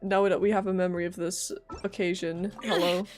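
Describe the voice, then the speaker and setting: A woman's voice: fairly low pitch, flat delivery, a little fast, a little mellow, soft background music. A woman doing casual live gameplay commentary, informal, playful and reactive as a streamer.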